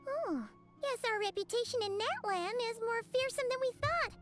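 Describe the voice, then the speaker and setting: A woman's voice: very high voice, animated, moderate pace, music in the background. A woman voicing a tiny, chatty floating companion sprite in a video game, curious and talking about herself by name. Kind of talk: game voice acting.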